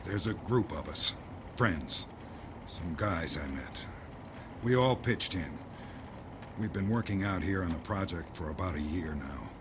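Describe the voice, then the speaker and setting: An actor playing an earnest, secretive doomsday believer who speaks gravely and insistently in a dramatic audio play. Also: male voice, sits low, expressive, a little slow, pauses often, noisy background. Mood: guarded.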